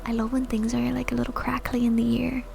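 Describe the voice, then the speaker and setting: A woman streamer whispering soft, giggly ASMR chat to her viewers into a sensitive mic. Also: a little high, monotone, fast talker, creaky, a little hiss or hum. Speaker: VTuber streamer.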